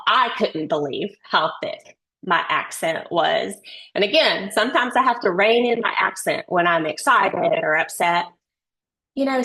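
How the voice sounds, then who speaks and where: A woman in her forties from Texas with a Southern drawl, giving a webinar lecture as a nurse educator over a video call in a warm, effusive manner. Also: on the low side, poor audio. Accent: Texan Southern drawl. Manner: warm, effusive, slow-paced. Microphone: computer mic on video call.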